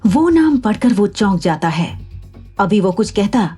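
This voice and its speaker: A woman's voice: mid-range voice, very animated, unhurried, warm tone, husky, soft background music. A woman narrating an audio drama in a scripted storytelling style.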